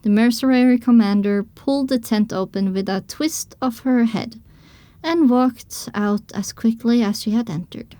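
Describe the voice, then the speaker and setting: A woman reading scripted first-person story narration for an audio drama, with expressive storytelling delivery. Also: a little high, unhurried, dry recording.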